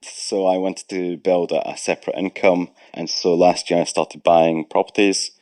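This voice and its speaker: A Scottish man in his thirties, speaking as an interviewed guest in a measured, thoughtful way with pauses. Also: low-pitched, level tone, a little mellow, no echo. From Scotland, Ayrshire. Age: 30s. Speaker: guest property investor. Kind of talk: recorded interview answers.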